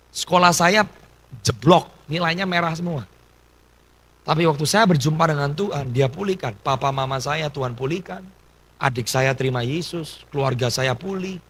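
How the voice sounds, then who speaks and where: A man's voice: a little high, fairly bright tone, husky. A man in his thirties from Indonesia, a pastor telling his life story in a conversational sermon and dropping in English phrases. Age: 30s. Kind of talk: testimony sermon.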